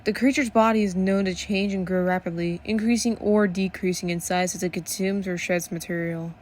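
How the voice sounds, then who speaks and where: A woman's voice: mid-range voice, steady tone, fairly quick, a little mellow, natural voice. A woman playing a serious radio news reporter, giving a scripted report in a grave, matter-of-fact way. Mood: grave, factual.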